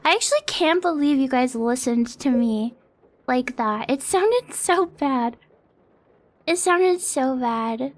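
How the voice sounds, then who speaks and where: Young woman streamer, high voice, slow and rambling, self-deprecating with casual swearing, close desk mic at home. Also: expressive. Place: home apartment streaming setup. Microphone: close desk mic on an arm.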